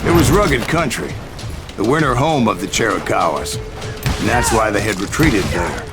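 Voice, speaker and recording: Loud music plays behind a low-pitched actor as a weathered old gunslinger. He recounts his bounty hunting in a measured Western game narration, folksy and wry, like a man with many miles behind him. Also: animated, close to an everyday voice. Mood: grave, reflective. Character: older weathered male bounty hunter recounting his Old West exploits.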